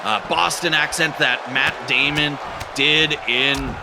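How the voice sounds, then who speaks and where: A man doing comedic live play-by-play commentary for a wrestling match, rambling through jokes with lots of fillers and bursting into excited calls. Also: on the high side, takes it slowly, gravelly. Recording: loud crowd and noise behind.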